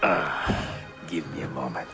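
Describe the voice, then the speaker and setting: Deep-voiced, weary old assassin master. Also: male voice, music in the background. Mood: weary, reflective. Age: older. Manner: measured, solemn, formal. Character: weary, grave elderly male assassin master, fatherly. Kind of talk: dramatic video game cutscene acting.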